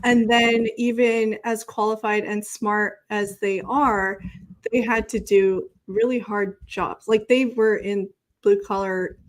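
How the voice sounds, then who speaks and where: A woman's voice: medium pitch, fairly even intonation, slow pace. A woman hosting a casual online interview, speaking in an informal, chatty, encouraging way. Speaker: show host interviewing a guest.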